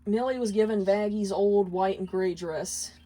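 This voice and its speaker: Mellow-voiced woman reading a story. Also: medium pitch, fairly even intonation, moderate pace. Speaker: fan fiction reader. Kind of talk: scripted narration, reading a story aloud.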